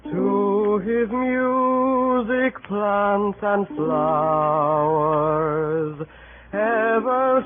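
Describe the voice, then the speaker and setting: A woman playing a young servant, singing a gentle, drawn-out lullaby in an old radio Shakespeare drama. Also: mid-range voice. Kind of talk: slow sung Shakespeare song in radio drama. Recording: muffled old radio recording.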